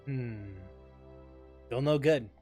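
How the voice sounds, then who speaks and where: A man giving casual, rambling live commentary as a game streamer, halting as he searches for words. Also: medium pitch, music in the background.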